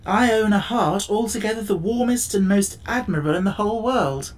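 A narrator as a tender-hearted tin man, pitched up. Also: brisk, natural voice. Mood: earnest, proud. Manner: earnest, sympathetic.

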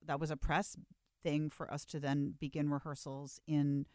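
A woman's voice: deep voice, little pitch movement, normal speed, a little mellow, dry recording, studio quality. A middle-aged woman, a stage actress, chatting casually as an interview guest with wry, playful asides. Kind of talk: casual group interview chat.

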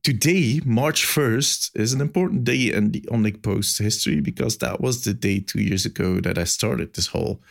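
A man's voice: fairly low pitch, normal speed, gravelly, hardly any pauses. A man with a Belgian accent hosting a casual gaming podcast, rambling and laughing at himself. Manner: rambling, self-mocking, laughs often. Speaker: podcast host.

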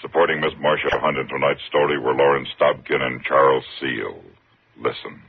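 A man's voice: very deep voice, steady tone, normal speed, creaky, slightly rough, no room sound. A man announcing a 1950s radio drama in a dramatic, scripted style. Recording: phone-like vintage radio recording. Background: loud music.

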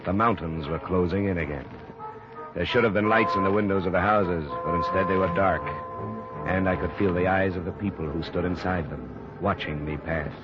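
An actor playing a hard-boiled, level-headed investigator in a 1950s radio drama, terse, firm and dry. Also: male voice, low voice, normal speed, loud music behind the voice, natural voice. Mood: brooding narration. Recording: muffled, noisy old radio recording.

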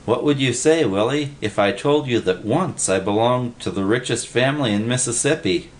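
A man's voice: medium pitch, moderate pace, pitched above the performer's own voice, close to an everyday voice. A man voicing a pompous, bossy know-it-all bum who lectures in wordy, pedantic language in a storybook reading. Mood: boastful.

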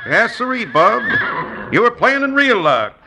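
A man's voice: very high-pitched, very animated, a little slow, background noise, full character voice. An actor playing a crusty older male frontier medicine-show peddler in a 1950s radio drama, with a blustery, boastful folksy drawl.

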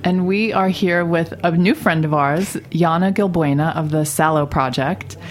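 A woman's voice: low voice, moderate pace. A woman co-hosting a radio show, chatting in a friendly way with lots of fillers. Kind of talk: casual interview chat.

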